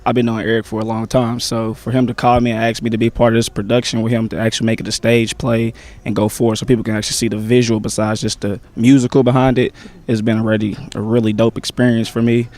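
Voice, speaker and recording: A young man, a stage actor, answering interview questions in a relaxed, slangy way with many pauses and "um"s. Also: mid-range voice. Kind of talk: casual interview chat.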